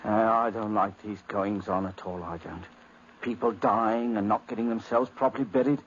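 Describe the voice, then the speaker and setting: An actor playing a superstitious, deferential servant who rambles in a folksy, hesitant way in a 1950s radio drama. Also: male voice, on the low side, steady tone, moderate pace, close to an everyday voice. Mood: grumbling, rambling. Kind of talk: 1950s radio character acting. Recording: muffled 1950s radio recording, faint noise.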